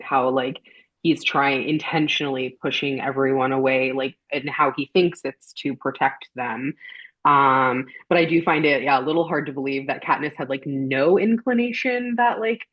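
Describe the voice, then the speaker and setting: A woman guest chatting informally on a podcast panel, with slightly muffled, lo-fi sound. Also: low voice. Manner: informal, conversational. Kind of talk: casual chat. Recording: slightly dull lo-fi microphone sound.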